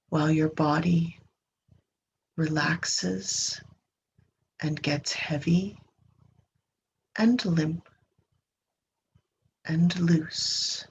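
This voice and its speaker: A woman leading a guided meditation in a gentle, unhurried manner, leaving long pauses and trailing off breathily at the ends of phrases. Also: sits low. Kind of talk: guided meditation, slow soothing instruction. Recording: dull lo-fi recording with clear background noise.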